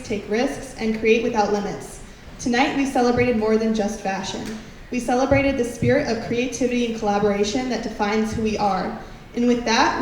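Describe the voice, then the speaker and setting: A young woman delivers a scripted closing speech in a slightly high voice. She sounds distant in a heavily echoing venue, with faint noise around her. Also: darkish tone. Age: young adult. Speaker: student club speaker at an event. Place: large indoor event venue.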